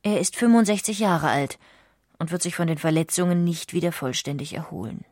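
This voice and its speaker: A woman reading scripted documentary narration in a measured, factual way. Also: on the low side, a little mellow, no room sound, clean studio sound.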